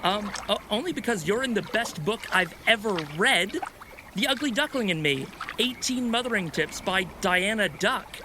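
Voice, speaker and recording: A man's voice: high voice, animated, normal speed, noisy background. A man playing a gushing, easily offended male waterfowl fan in a comedic audio drama. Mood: excited, starstruck.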